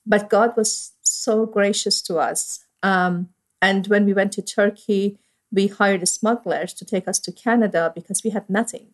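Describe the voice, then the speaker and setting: A middle-aged woman with an Iranian accent, a priest telling her own story as an interview guest in an earnest, reflective way with frequent fillers. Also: medium pitch. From Iran. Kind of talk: personal storytelling.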